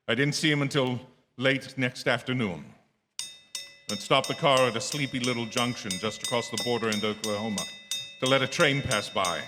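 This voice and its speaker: A man's voice: mid-range voice, lightly acted. A man playing a haunted, anxious lone motorist in a radio drama, intense and sometimes stammering. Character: haunted, anxious lone male motorist narrating his ordeal. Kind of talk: dramatic first-person radio drama narration. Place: theatre stage. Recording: reverberant theatre hall, music underneath. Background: dramatic music.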